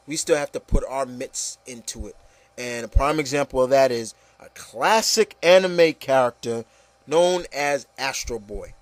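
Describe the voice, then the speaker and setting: Male panel host, fairly high-pitched, dry recording. Opinionated, emphatic, conversational, repeats points. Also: dry recording. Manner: emphatic, repetitive, peppered with "you know". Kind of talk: casual opinionated panel discussion.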